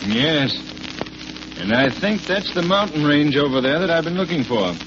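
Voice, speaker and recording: An actor playing a composed, determined, urbane young gentleman adventurer in a 1930s radio drama. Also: on the high side, expressive, moderate pace, lightly acted. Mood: composed, inquisitive. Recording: muffled, noisy 1930s radio recording.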